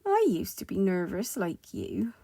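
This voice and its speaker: A gentle old-fashioned storybook tramp, as a woman reader puts him on, kindly and soothing. He speaks in a soft, breathy murmur, taking his time over each phrase. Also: medium pitch, very animated, a little slow, dry recording. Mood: reassuring.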